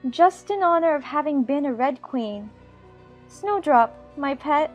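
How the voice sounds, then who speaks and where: High-pitched prattling little girl Alice, acted by a woman. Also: lively intonation, a little slow, faint music behind. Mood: fond, chiding. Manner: prattling, playfully scolding. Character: Alice, chatty imaginative little girl, fond and bossy. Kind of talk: dramatized audiobook character acting.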